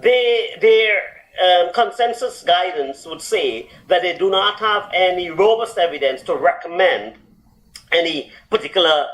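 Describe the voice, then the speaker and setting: A man with a notably high voice gives medical advice on a talk show. He explains carefully, filling pauses with ums and restarting phrases as he goes. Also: lively intonation, a bit bright, low-quality recording. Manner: explanatory, many fillers and restarts. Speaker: doctor answering health questions.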